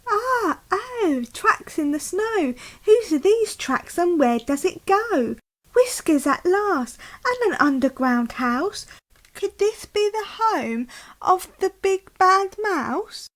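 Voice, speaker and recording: Woman doing little monster daughter: very high, eager, curious, brave. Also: big pitch swings, slow pace, fairly bright tone, exaggerated voice. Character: young female monster child, brave and curious.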